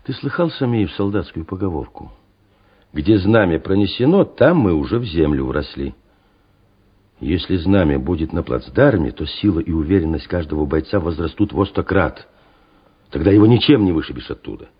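An actor playing an earnest, insistent Soviet political officer who argues urgently and persuasively in a radio drama. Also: male voice, deep voice, animated, normal speed, breathy edge. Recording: muffled old radio play recording.

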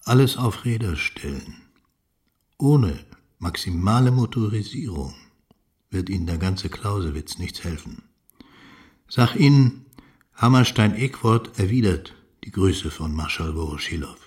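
A guarded older general from a 1930s radio drama. His voice sits low, and he talks in clipped, weighty military phrases, giving little away. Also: lively intonation, a little slow, a little mellow. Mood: firm, solemn. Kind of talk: radio play dialogue.

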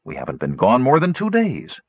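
A man's voice: mid-range voice, huge pitch swings, a little fast, no room sound, muffled recording, pitched above the performer's own voice. A man voicing an earnest young male knight who sounds weary.

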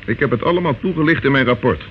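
A man plays a steady police inspector from an old radio play. He questions and states things firmly and in a businesslike way. The sound is thin, phone-like and hissy. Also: mid-range voice, very fast, close to an everyday voice. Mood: firm, assured. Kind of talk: old radio drama acting. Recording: muffled, noisy old radio recording.

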